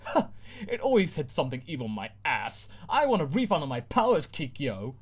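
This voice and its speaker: A man voicing a whiny, bratty teenage girl heroine in a parody story reading, with shrill outbursts. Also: huge pitch swings, brisk, husky, exaggerated voice. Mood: grumbling.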